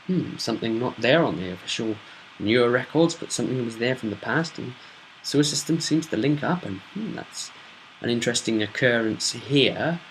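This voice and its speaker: A man's voice: mid-range voice, lively intonation, moderate pace, slight background noise, low-quality recording. A man casually recapping a tabletop role-playing campaign as game master, chatty, with emphatic asides. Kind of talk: casual solo recap narration.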